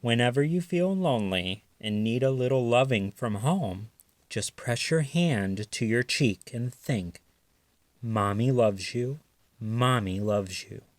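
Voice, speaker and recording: A man voicing a gentle, reassuring mother raccoon in a tender, soothing manner for a children's storybook. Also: mid-range voice, animated, takes it slowly, no echo.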